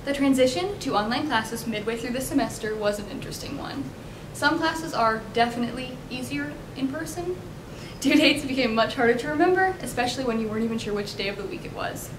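A young American woman gives a scripted commencement speech as a student speaker. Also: brisk. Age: young adult. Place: indoor multipurpose room at podium. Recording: echoey room with clear rumbling noise.

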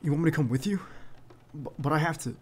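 A man playing a protective, earnest bodyguard in an ASMR roleplay, speaking haltingly and insistently straight to the listener. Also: fairly high pitch, darkish tone, close to an everyday voice. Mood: alarmed. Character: protective, earnest young male bodyguard to a crime boss. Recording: clear background noise under the voice.

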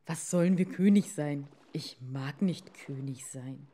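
A woman's voice: low-pitched, a little slow, dark tone, voice pushed down. A woman voicing a meek, henpecked fisherman in a fairy tale, plaintive, sighing and hesitant. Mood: reluctant, troubled. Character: meek, reluctant old-tale fisherman husband, henpecked and troubled.

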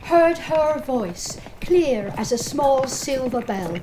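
A woman's voice: high voice, expressive, slow pace, noisy background. A woman performing a devoted, plain-spoken Irish soldier telling his story earnestly in a dramatic poetry monologue. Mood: admiring.

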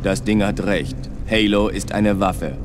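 A calm armored soldier voiced by a man speaks terse and low. Loud noise fills the background. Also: unhurried, close to an everyday voice.

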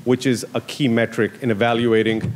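Man, mid pitch, podium mic, large conference hall, moderator, announcing tone. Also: flat delivery, moderate pace, a little hiss or hum. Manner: announcing, structured, with fillers. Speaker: panel moderator. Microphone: podium microphone.

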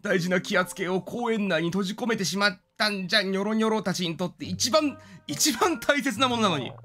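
A man's voice: sits high, fairly quick, fairly bright tone. A man voicing a flustered old male scholar from a game, pleading urgently in elderly diction. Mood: urgent, plaintive.

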